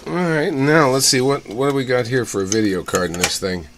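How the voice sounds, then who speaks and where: A man giving casual, off-the-cuff hands-on commentary in a halting way, as if muttering to himself while he inspects things. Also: mid-range voice. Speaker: hobbyist vlogger showing old computer hardware. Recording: clear background noise with room ambience.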